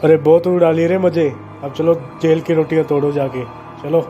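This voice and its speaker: Man doing a flat, dark-voiced street tough. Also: on the high side, moderate pace, lightly acted. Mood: gloating. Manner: cocky, Mumbai street slang. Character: brash, slangy street tough. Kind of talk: dramatized children's story. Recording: dull lo-fi sound with music. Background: music.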